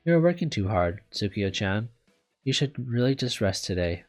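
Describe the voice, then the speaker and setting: A man voicing a caring, casual schoolgirl in a visual novel, breezy and gently bossy, with music behind. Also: on the low side, sing-song, moderate pace. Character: caring, casual schoolgirl looking after her sick girlfriend. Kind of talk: visual novel read aloud. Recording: background music under the voice.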